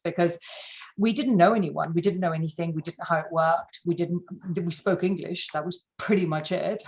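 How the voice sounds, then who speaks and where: Middle-aged woman from malawi, kinda low voice, emphatic, repeats herself for stress. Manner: emphatic, repeats words when stressing a point. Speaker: interview guest, entrepreneur. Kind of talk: candid personal interview.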